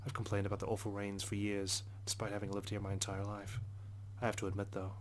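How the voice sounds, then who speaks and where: A man's voice: on the low side, monotone, fast talker, slight background noise. A man reading visual novel narration aloud in an introspective, first-person manner. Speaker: let's play narrator.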